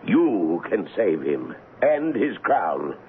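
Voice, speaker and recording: Deep, emphatic royal colonel, old radio. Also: male voice, big pitch swings, full character voice. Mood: persuasive, insistent. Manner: emphatic, persuasive, deliberate. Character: shrewd, commanding royal colonel, loyal plotting strategist. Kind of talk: old-time radio drama acting. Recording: muffled, noisy vintage radio broadcast.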